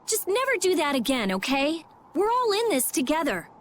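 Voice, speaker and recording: A woman's voice: sits high, very animated, moderate pace, a little hiss or hum. A woman voicing a brusque, prickly teenage girl scholar who hides her concern, in a video game. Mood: scolding. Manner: brusque, scolding.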